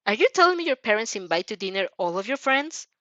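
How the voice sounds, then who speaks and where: A woman voicing an excitable, indignant teenage schoolgirl who exclaims and pleads, in an amateur fan fiction dub. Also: a little high, fast talker, no echo, lo-fi sound. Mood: indignant, pleading.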